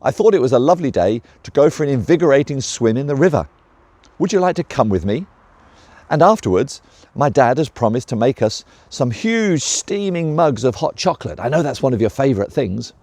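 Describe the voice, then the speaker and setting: A man voicing a cheerful, friendly male badger who talks in a brisk, upbeat way. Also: medium pitch, sing-song, darkish tone, dry recording.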